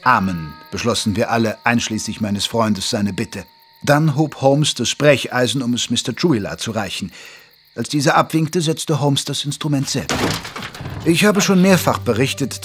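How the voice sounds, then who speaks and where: Guy acting as victorian doctor narrating a detective radio play, measured and literary, music behind him. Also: mid-range voice, lightly acted. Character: Victorian gentleman doctor recounting his detective friend's case. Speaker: narrator of an audio drama. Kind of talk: scripted audio drama narration. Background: music under the voice.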